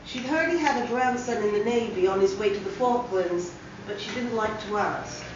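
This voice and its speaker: A woman's voice: medium pitch, normal speed. A woman giving a dramatic reading of a short story as an actor would. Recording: very echoey room, distant mic, slightly dull, faint noise.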